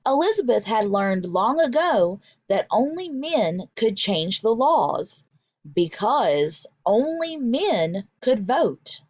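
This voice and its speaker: Animated high-voiced woman reading to children. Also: no room sound, lo-fi sound. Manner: deliberate, emphatic storytelling for young children. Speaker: teacher reading a picture book aloud. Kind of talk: expressive children's read-aloud, instructional.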